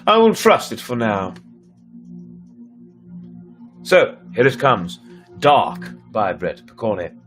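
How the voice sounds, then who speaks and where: A man's voice: lowish voice, huge pitch swings, slow pace, pauses often, fairly bright tone, soft background music. A man reading stories aloud on a livestream in a theatrical, playful manner, with chatty asides. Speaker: storyteller and livestream host. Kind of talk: dramatic fairy tale reading with chatty asides.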